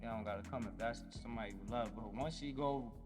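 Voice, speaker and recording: A man's voice: mid-range voice, fast talker, big-room sound, over loud music. Laid-back man saying "you feel me" a lot. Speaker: guest artist. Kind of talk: casual interview chat.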